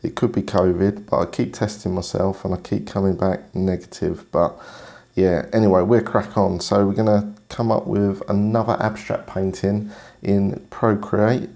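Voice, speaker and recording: A man with a cold, hoarse, talking through an art tutorial in a relaxed, casual way. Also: fairly low pitch, moderate pace. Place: indoors at a desk.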